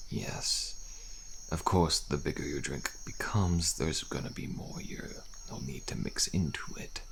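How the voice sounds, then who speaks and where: Frail old male deserter, ASMR fantasy roleplay: slow, wary, helpful, thick gravel, faint cricket noise behind. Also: a bit bright, full character voice. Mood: patient, instructive. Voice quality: very deep, gravelly, breathy at times. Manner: slow, archaic, deliberate, often dropping to a murmur.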